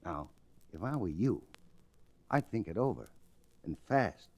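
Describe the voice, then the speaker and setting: An actor with an American accent playing a smug, menacing cattle-buyer villain with an insinuating manner in a radio Western. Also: male voice, low voice, very animated, darkish tone, slightly rough, lo-fi sound, full character voice. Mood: threatening. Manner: insinuating, drawn-out threats. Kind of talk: old-time radio Western acting.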